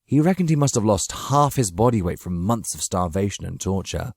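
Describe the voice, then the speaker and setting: Low raspy guy reading a dramatic audiobook, really dry close sound. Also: expressive, fairly quick, a little mellow, dry recording. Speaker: audiobook narrator. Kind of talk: scripted dramatic story narration.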